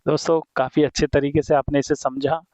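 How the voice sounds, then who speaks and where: A man teaching English in Hindi who reads the English example sentences slowly and deliberately and keeps calling his viewers friends. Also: a little high, level tone, darkish tone, no echo, muffled recording. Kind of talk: explaining a textbook lesson to viewers.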